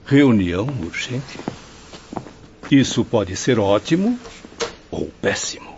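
A man's voice: fairly low pitch, big pitch swings, takes it slowly, gravelly, full character voice. A Brazilian man acting in a radio drama as a formal, inquisitive professor. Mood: curious. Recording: slightly muffled radio drama recording.

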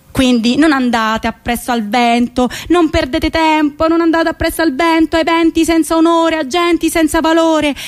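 High-voiced, spirited young woman, played by an actress. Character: spirited, slightly eccentric young woman of today. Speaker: stage actress. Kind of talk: theatrical monologue for a radio play.

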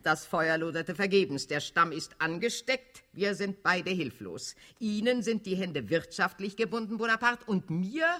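A man's voice: high-pitched, normal speed, hardly any pauses, sharp tone. An actor playing a scheming old cardinal statesman in a radio drama, fanatical and coldly authoritarian, with declamatory, sardonic delivery. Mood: grave, accusing. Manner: declamatory, sardonic, chuckling rhetoric. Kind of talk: German-language radio drama acting.

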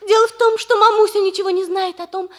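An actress playing an excitable lovestruck young noblewoman in a 1960s radio comedy, breathless and gasping. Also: extremely high pitch, fast. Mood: breathless romantic. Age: young adult. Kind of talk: 1960s radio theatre acting.

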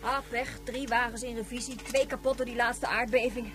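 Actress as a high-voiced grumbling mechanic. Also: female voice, flat delivery, moderate pace, natural voice. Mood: grumbling, dismissive. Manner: grumbling, dismissive, colloquial. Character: grumbling, irritable, blunt female vehicle mechanic. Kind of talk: Dutch radio drama acting. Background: loud music.

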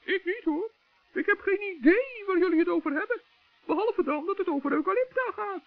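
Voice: very high voice, lively intonation, fairly quick, no room sound. An actor playing a kind, trusting, earnest little male gnome in a children's radio play. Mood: cheerful. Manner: earnest, good-natured, determined. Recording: muffled old narrow-band radio recording.

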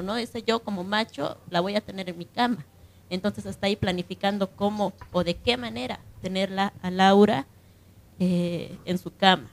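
A woman's voice: mid-range voice, no echo. Woman radio host, mid-range voice. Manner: checks in with tag questions. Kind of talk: unscripted recap and discussion of a radio drama. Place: radio booth.

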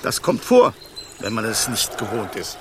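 Brusque, swooping golden snake. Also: male voice, lowish voice, huge pitch swings, moderate pace, fairly bright tone, a little hiss or hum. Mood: wry. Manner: brusque, scolding, exclamatory. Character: bossy, grumpy male golden snake, secretly caring guide. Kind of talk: German radio play acting.

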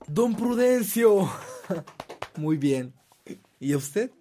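A man's voice: high voice, big pitch swings, very slow, dark tone, a little hiss or hum, exaggerated voice. A man playing a humble, grateful town doctor in a radio drama. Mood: cordial. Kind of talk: Spanish-language radio drama acting.

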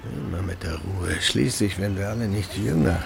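A man's voice: deep voice, moderate pace, gravelly, loud music behind the voice. An actor playing a wry, self-satisfied retired radio editor in his sixties, musing theatrically to himself in a radio play. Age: 60s. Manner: musing to himself, theatrical shifts between murmur and exclamation. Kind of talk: German radio play monologue.

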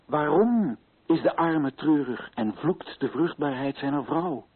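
A muffled, lo-fi old radio drama recording of an earnest colonial official. His voice is husky and swings widely in pitch as he declaims in formal, righteous, solemn phrases. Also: animated, a little slow. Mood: solemn, grave. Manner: declamatory, formal, rhetorical questioning. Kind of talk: theatrical radio drama oratory.

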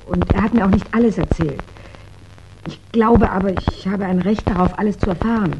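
An actress playing a determined, quietly probing young-to-mature widow in a 1960s German radio crime drama, speaking earnestly and carefully. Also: female voice, mid-range voice, a little fast, natural voice. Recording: muffled, noisy 1960s radio drama recording.

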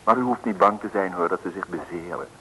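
A man's voice: sits low, fast. A man narrating a vintage comic radio serial in a tongue-in-cheek, chatty way, with asides to listeners. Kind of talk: wry scripted radio narration, 1960s. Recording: hissy old radio recording.

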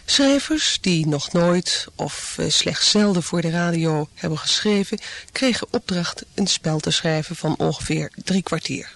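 A man reading a formal, measured scripted introduction as a radio announcer. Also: fairly high pitch, gravelly, a bit bright, no echo.

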